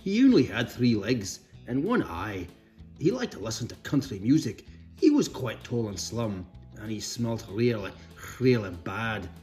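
A man reading a children's storybook aloud in a playful, expressive way with cheeky asides. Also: mid-range voice, a little slow, faint music behind. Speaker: storybook reader. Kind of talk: animated read-aloud for children.

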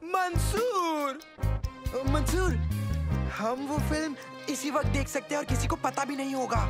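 A woman voicing an excitable, impatient young boy with a whiny, dramatic delivery in a cartoon dub. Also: sits high, unhurried, over loud music. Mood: pleading.

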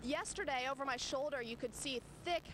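A young adult woman, a field reporter, giving a measured live news report outdoors on a residential street into a handheld mic. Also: sits high, bright, forceful tone, a little hiss or hum. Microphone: handheld station mic.

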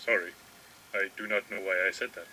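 An actor voicing an earnest, oddball gentle giant teenager in a cartoon, stiff, formal and deadpan. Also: male voice, low voice, brisk, a little hiss or hum. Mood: apologetic.

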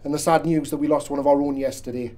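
Male actor plays an authoritative senior detective; mellow, slightly high voice. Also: fairly even intonation, natural voice. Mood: solemn. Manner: measured, authoritative briefing. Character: senior male police detective briefing his team.

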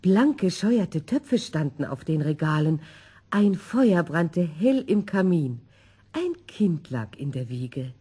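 Lively husky woman storyteller. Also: deep voice, slow pace. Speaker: narrator. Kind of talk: expressive scripted children's story narration.

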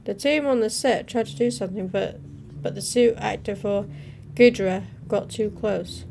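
A woman's voice: medium pitch, moderate pace, gravelly, a little mellow. Young woman reacting casually, noisy background. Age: young adult. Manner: informal, interjecting between read-out captions. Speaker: reaction video host. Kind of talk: casual reaction commentary, reading captions aloud. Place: small room at home, indoors. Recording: noticeable background noise.

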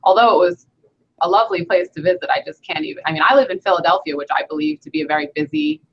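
A woman's voice: fairly low pitch, fast, dull audio. A young woman hosting an interview from her home gym over a video call, chatting casually with many "you know"s. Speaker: host.

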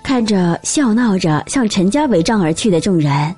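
A woman reading a novel aloud as an expressive, scripted audiobook narrator. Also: medium pitch, normal speed, breathy edge, soft background music.

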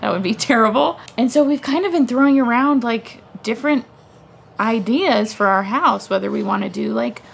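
A woman's voice: a little high, normal speed, gravelly, husky. A young woman chatting to a handheld camera on her front porch as a family vlogger, rambling and thinking aloud. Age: young adult. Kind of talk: casual vlog talk to camera. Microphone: handheld camera close up.

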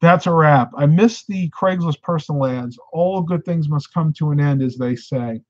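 A man in his thirties, hosting a casual live stream from a room at home, talking animatedly and getting a bit hoarse. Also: on the high side, normal speed. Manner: animated, gestures with hands while talking. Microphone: webcam at desk. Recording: slightly dull lo-fi webcam sound.

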